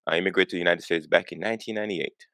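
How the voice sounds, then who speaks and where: A man's voice: low-pitched, steady tone, rapid-fire, bright, forceful tone, no echo, studio quality. A man in his forties, from Jamaica, talking earnestly as a podcast guest and repeating words as he gets going. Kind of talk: conversational interview.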